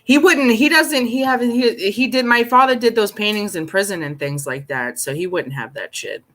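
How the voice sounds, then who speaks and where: A woman's voice: medium pitch, animated, moderate pace, a bit bright. A woman chatting casually in a blunt, informal, slangy way. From New York, United States. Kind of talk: casual chat.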